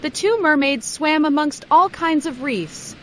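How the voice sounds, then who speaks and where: A woman's voice: sits high, a little slow, a bit bright, a little hiss or hum. A woman narrating a scripted children's bedtime story.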